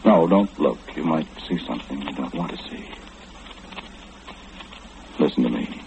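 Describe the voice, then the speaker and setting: An actor playing an eerie, all-knowing mind reader in old radio horror, speaking slyly and teasingly, straight to the listener. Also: male voice, extremely low pitch, expressive, unhurried, vocal fry, heavily acted. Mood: sly, ominous. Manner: sly, insinuating, theatrical, speaking confidingly to the listener. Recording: muffled, noisy old radio broadcast.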